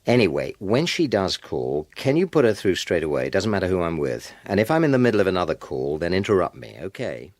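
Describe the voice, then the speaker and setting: A man's voice: deep voice, big pitch swings, a little fast, hardly any pauses, a little mellow, no room sound, studio quality. An actor playing an earnest, exasperated middle-aged British husband and father in a radio drama, hesitant yet stubborn. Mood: brisk, awkward.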